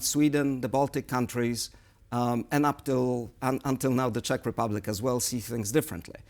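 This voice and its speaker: Gravelly man giving analysis. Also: mid-range voice. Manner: measured, explanatory, analytical. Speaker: guest political analyst on a current affairs show. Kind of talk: studio interview commentary.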